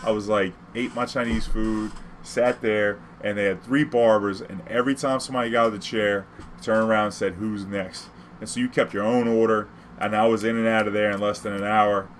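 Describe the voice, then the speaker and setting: A man's voice: on the low side, fairly even intonation, fairly quick, a little hiss or hum. A man from New Orleans with an American accent, telling personal stories casually in profane street slang, acting out the dialogue.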